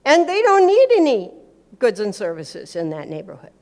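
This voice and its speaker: A woman's voice: medium pitch, wildly swooping pitch, normal speed, a little mellow. A woman from America and Switzerland giving an informal teaching talk, emphatic, repeating words for effect and acting out bits of dialogue. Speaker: speaker giving a talk on faith and business.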